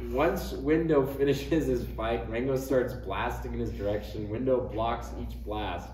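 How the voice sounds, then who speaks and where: A man's voice: medium pitch, a little slow, darkish tone. A man reading out the stage directions of a comedy script as narrator. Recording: echoey room, distant mic, faint noise.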